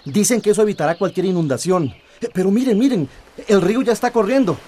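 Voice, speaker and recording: An actor playing an impassioned community activist in a radio drama, insistent. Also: male voice, sits high, quick pace, dark tone. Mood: excited, relieved.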